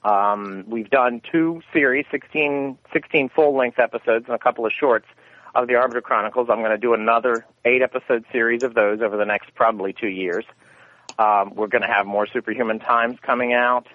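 A man's voice: mid-range voice, steady tone, fairly quick, no echo. Man on a tinny phone line. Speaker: interview guest, radio theatre producer. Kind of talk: casual interview.

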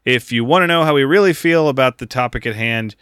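A man's voice: medium pitch, a little fast, a bit bright, smooth, no echo, studio quality. A man co-hosting a podcast, chatting casually and jokingly, rambling with frequent laughs. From United States. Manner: rambling, jokey, laughs often. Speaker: podcast co-host.

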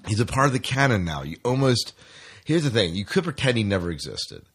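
A man's voice: fairly low pitch, big pitch swings, a little fast, fairly bright tone, slightly rough. A man hosting a podcast in casual fan chat, chatty and opinionated, often prompting his co-host.

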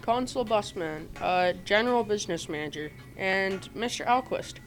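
A teenage girl playing a courteous, earnest factory manager in an amateur radio play, reading haltingly with many ums. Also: medium pitch, expressive, soft background music, natural voice, low-quality recording. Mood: courteous, formal.

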